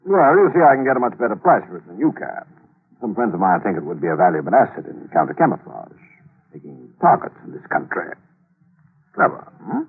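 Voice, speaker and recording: An actor playing a smug, sardonic Nazi spy in a 1940s radio thriller, with a gravelly, husky voice and a leisurely, toying manner. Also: male voice, lowish voice, very animated, brisk, exaggerated voice. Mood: boastful. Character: smug male Nazi spy villain with a gun. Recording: tinny 1940s radio recording.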